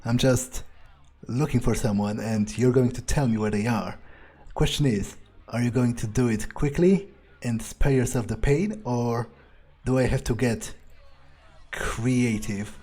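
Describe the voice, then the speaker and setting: Slow, menacing man playing a vampire. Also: mid-range voice, dark tone, breathy edge. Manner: slow, deliberate, menacing delivery. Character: menacing, sardonic male vampire who taunts his victims. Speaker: gamer roleplaying a vampire character in a playthrough. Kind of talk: in-character game playthrough commentary. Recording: game music behind the voice.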